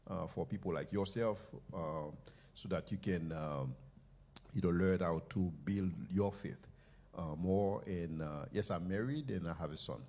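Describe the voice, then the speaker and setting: Low husky guy, economist type, friendly intro at a church panel, bit hesitant. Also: unhurried, a little mellow. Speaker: economist and apologist.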